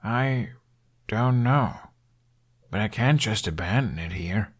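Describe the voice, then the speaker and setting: A man's voice: lowish voice, lively intonation, slow, husky, full character voice. A man voicing an exasperated, sarcastic young male traveller with a dramatic way of talking in a storybook. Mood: hesitant.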